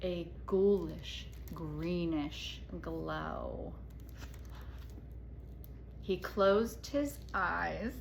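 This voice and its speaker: A woman reading a children's picture book aloud as a storyteller, expressively and dramatically, with pauses. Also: fairly low pitch, vocal fry. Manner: dramatic, with hushed asides and pauses. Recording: echoey room with faint noise.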